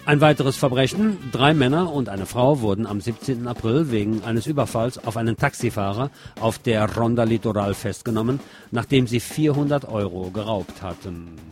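A man's voice: medium pitch, moderate pace. A man presenting radio news, reading from a script with the odd informal aside. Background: music.

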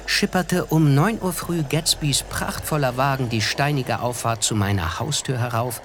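An actor playing a reflective, observant narrator in a radio play, measured and dryly ironic in a literary way. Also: male voice, mid-range voice, background noise, close to an everyday voice. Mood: reflective, wry. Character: reflective male narrator of a 1920s American novel, observant, wry. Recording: music behind the voice.

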